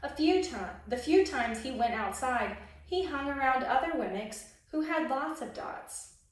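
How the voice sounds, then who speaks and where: A woman reading a children's story aloud expressively, pausing to put questions to young listeners. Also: sits high, expressive. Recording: very echoey room, distant microphone.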